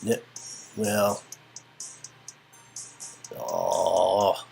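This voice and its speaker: A woman reading a game story aloud and bantering casually, with animated, teasing interjections. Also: extremely low pitch, extremely slow, gravelly. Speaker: co-host reading game dialogue and narration. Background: music.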